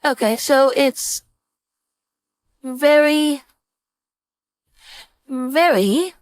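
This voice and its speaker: High-voiced woman teaching casually, dry sound. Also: extremely slow, no echo. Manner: informal, thinking aloud step by step. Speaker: design instructor. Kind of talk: casual screen-share software tutorial.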